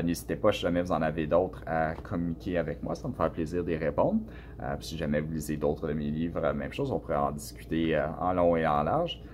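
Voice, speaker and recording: Deep-voiced Canadian French man. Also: a little mellow, a little hiss or hum. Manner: hesitant, many filler sounds and restarts. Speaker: author answering students' questions. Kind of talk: casual spoken answers to a class.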